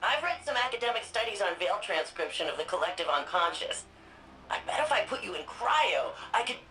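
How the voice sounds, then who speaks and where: An actress voicing a spirited, nerdy young woman archivist in a video game, quick and chatty. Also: mid-range voice, big pitch swings, pushed and bright, slight background noise, poor audio. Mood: playful. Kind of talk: video game dialogue and narration.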